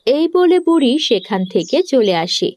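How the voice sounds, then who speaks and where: A woman's voice: fairly high pitch, big pitch swings, moderate pace, a little mellow, no room sound. A woman reading scripted narration as a storyteller for a fairy tale.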